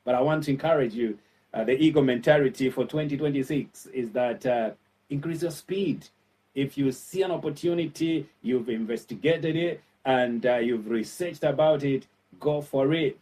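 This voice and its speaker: A man with a Zambian accent speaks at a middle pitch, sounding a little far from the microphone. He delivers an earnest motivational message in a pastor's style. His phrasing is halting, full of filled pauses, and he often repeats key points to encourage the listener. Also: a little mellow. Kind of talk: motivational sermon-like talk.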